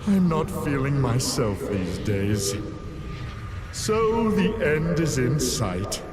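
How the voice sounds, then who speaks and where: A man playing a vain, exasperated mad scientist in a cartoon game, sardonic and self-pitying, with theatrical drawn-out phrasing. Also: mid-range voice, very animated. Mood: weary, sly. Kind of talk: cartoon game villain acting. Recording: loud music under the voice.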